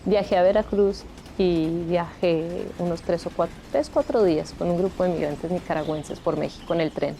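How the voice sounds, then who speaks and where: A woman's voice: on the low side, fairly even intonation, normal speed, vocal fry, mellow, slight background noise. A woman in her thirties who grew up in Colombia, telling her life story candidly and reflectively in a documentary interview. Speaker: interviewee, migrant shelter founder.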